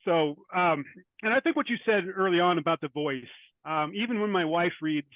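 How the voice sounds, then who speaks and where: A man speaking as a writer guest in an interview, thoughtful and halting, often repeating words as he goes. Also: high voice, expressive, no echo, phone-quality sound. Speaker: guest, short fiction writer. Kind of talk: interview conversation.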